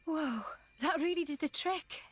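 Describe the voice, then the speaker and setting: A woman's voice: high-pitched, unhurried, no echo. A woman voicing a feisty, blunt young former noblewoman in a video game, with a cheeky, informal way of talking.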